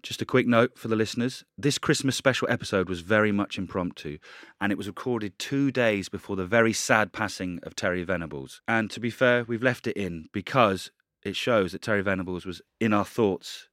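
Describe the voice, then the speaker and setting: A man's voice: on the low side, brisk, hardly any pauses, fairly bright tone, no echo, studio quality. A man co-hosting a football podcast, speaking in an earnest, plain-spoken way. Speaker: podcast co-host. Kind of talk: casual chat.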